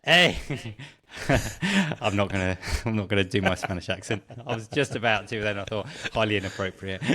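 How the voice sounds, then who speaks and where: A man's voice: lowish voice, moderate pace, bright, forceful tone. A middle-aged man with a British accent, chatting casually and telling anecdotes as a podcast guest. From Britain. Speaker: podcast guest, tech founder.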